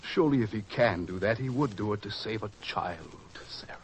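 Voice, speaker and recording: A man's voice: on the low side, animated, fairly quick. An actor playing an anxious, earnest father of a sick child in a 1940s radio drama, speaking urgently. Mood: worried. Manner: urgent, pleading. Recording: old radio recording with faint hiss.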